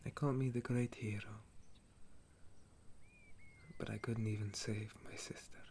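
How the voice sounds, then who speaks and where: A man playing a weary, tender legendary elf war hero in an intimate ASMR roleplay, speaking in a soft, breathy half-whisper. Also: lowish voice, flat delivery, a little hiss or hum. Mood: somber, grieving. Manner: slow, gentle, wistful storytelling.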